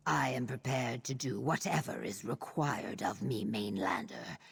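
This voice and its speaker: Very deep, raspy reptilian warrior. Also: female voice, full character voice. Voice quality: very low, raspy, gravelly. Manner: slow, solemn, formal speech. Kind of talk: video game character acting. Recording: music behind the voice.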